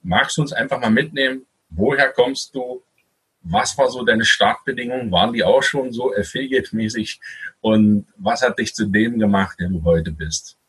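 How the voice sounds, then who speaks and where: A man hosting an interview in a conversational style, with a husky, rough-edged voice. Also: medium pitch, expressive, normal speed, fairly bright tone, low-quality recording. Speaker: interview host.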